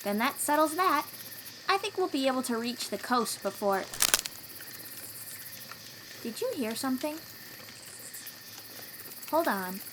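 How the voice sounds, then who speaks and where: A woman playing a young, protective sea-dweller warrior in an audio roleplay, encouraging and gently teasing as she talks straight to the listener. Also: high voice, expressive, unhurried, long pauses, background noise. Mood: casual, reassuring, then watchful, reassuring.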